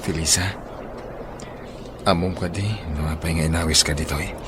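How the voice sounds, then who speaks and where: An actor playing an earnest, devoted young suitor in an Ilocano radio drama, with an Ilocano accent. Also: male voice, extremely low pitch, steady tone, normal speed, noisy background. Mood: probing, tender. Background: background music.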